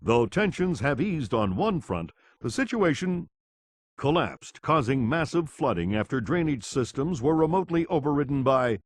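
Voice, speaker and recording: Game role: male news anchor, formal, grave, emphatic pitch movement, dry booth recording. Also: very animated, dry recording. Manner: formal, measured announcing. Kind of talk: scripted news bulletin in a video game.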